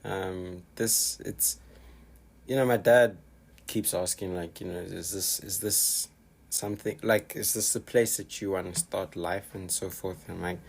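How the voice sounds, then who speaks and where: A man with a somewhat low, husky voice talks casually on a podcast. He tells stories loosely, circling back and restarting his phrases, and throws in local slang. The feel is relaxed conversation between friends. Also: a little slow. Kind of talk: casual chat.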